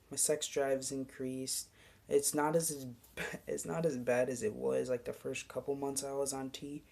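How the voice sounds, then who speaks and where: Slow, gravelly-voiced young man vlogging. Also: mid-range voice. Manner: relaxed, rambling, many ums, occasional whispered asides. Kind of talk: casual video diary.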